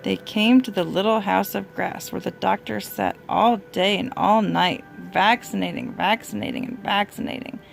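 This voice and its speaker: A woman's voice: medium pitch, fairly even intonation, moderate pace, creaky, hardly any pauses, faint music behind. A woman reading a children's storybook aloud to a small child, as a parent would.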